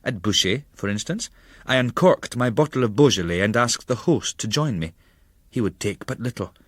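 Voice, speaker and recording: A man's voice: mid-range voice, expressive, normal speed, slightly rough, dry recording. Man narrating literature in a dry, close recording. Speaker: narrator, reader of a travel memoir. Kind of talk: dramatic literary audiobook narration.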